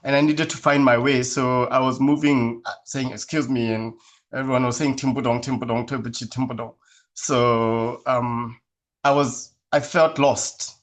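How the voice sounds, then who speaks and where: A man from Kenya who is a guest in a casual interview. He speaks in a measured, thoughtful way and often pauses with fillers. His voice sits at an ordinary middle pitch for a man. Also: fairly even intonation.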